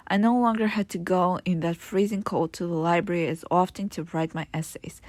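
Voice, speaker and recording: Low-voiced woman reading her memoir. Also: no room sound. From Israel. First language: Hebrew. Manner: earnest, reflective reading with occasional restarts. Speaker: memoir author reading her own book. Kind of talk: first-person memoir narration.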